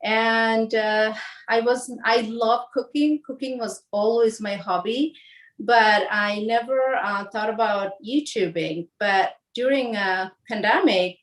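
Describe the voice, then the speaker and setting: A woman in her forties from Bangladesh, explaining home recipes in a casual, halting way with lots of fillers and restarts. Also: on the high side. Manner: hesitant, many fillers and restarts. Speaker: home cook guest. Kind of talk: casual cooking demonstration.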